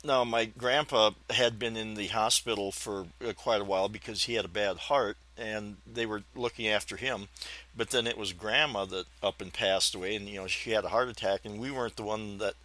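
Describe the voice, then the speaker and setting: A man around fifty with an American accent and a lowish, bright, forward voice. He reminisces in a folksy, rambling way, halting often, and his speech carries a slight whistling lisp. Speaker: podcast host. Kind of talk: reminiscing personal storytelling.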